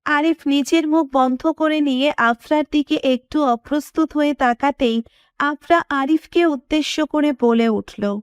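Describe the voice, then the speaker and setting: A woman narrates a scripted romantic story. Her voice sits high in pitch. The recording is very dry, with no room sound around her. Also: measured pace, no echo, muffled recording.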